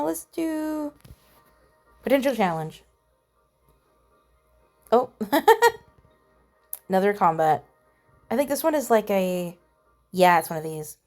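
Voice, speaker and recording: A woman's voice: medium pitch, vocal fry, darkish tone. A woman giving casual game commentary, chatty and playful, reading on-screen text aloud. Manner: chatty, mild playful exclamations. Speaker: gamer voicing a playthrough.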